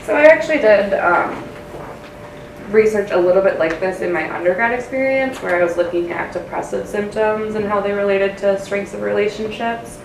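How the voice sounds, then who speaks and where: A woman's voice: mid-range voice, moderate pace, creaky. A young woman speaking spontaneously from the audience in a lecture room, picked up from a distance with echo. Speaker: audience member. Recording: distant, echoey room mic with noise.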